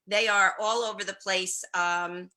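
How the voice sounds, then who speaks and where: Bubbly woman host on a video call, bright chatty delivery. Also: medium pitch, steady tone, unhurried. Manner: bubbly, chatty, laughs easily. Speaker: podcast host. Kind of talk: casual video-call chat show.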